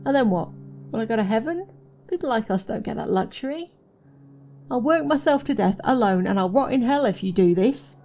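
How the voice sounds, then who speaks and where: An actress playing an anxious, devoted young wife in a dystopian audio drama, pleading and earnest. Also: female voice, on the high side, expressive, fairly quick, warm tone. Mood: anguished. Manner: pleading, earnest, old-fashioned phrasing. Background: music.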